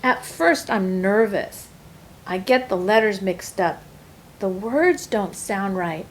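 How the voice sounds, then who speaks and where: Woman, children's storyteller, expressive read-aloud, medium pitch, faint noise behind. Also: takes it slowly, low-quality recording. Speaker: storyteller reading a picture book aloud.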